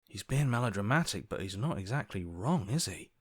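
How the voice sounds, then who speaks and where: A man's voice: lowish voice, big pitch swings, fairly quick, slightly rough, no room sound, clean studio sound. A man voicing an earnest, anxious hero as a reluctant inner voice in a video game, conversational, hesitant and wry. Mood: worried. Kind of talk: dramatic video game voice acting.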